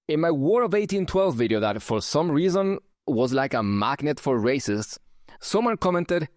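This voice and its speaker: A man's voice: a little high, big pitch swings, moderate pace, a little mellow, no room sound, clean studio sound. Wry man with a German-Spanish accent. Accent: mix of German and Spanish. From born in Germany, raised in Chile. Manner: wry, conversational, occasional chuckles. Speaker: channel host. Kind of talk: casual commentary reading comments.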